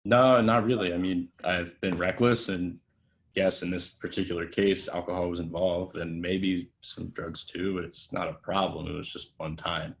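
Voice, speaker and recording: Very low male voice, young, reckless, defensive, hesitant, naturalistic drama read, acted by a man. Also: flat delivery, moderate pace, vocal fry. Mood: awkward, defensive. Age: young adult. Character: reckless, defensive young hot-shot actor. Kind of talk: screenplay table read, naturalistic acting.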